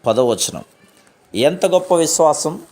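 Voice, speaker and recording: A man with a slightly high voice reads a scripted devotional text. His delivery is formal and measured, in the manner of scripture reading. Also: expressive, a little mellow.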